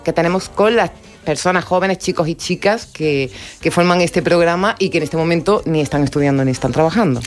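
A woman's voice: fairly low pitch, brisk, soft background music. A woman radio host presenting and interviewing in a conversational style.

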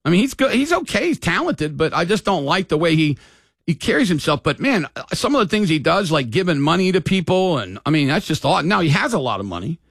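Animated gravelly older man on sports radio. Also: on the high side, a little fast, no room sound, studio quality. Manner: opinionated, animated, rambling. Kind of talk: casual sports talk radio.

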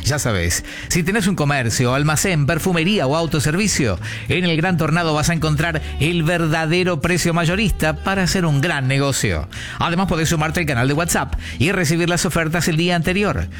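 A man's voice: fairly high pitch, fairly quick, a bit bright, faint music behind. A man with an Argentine accent reading scripted commercials as an announcer. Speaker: advertising announcer.

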